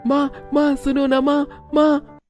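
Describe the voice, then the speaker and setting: A man puts on an eager little village child for a cartoon story. The voice jumps far up in pitch, childlike and pleading, with music underneath. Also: completely flat delivery, slow, darkish tone.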